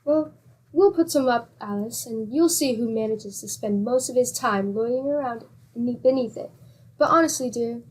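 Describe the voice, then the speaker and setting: An actress playing a patient, good-humored wife with a teasing, exclamatory manner in a 1940s-style radio comedy. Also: female voice, a little high, normal speed, slight background noise, close to an everyday voice.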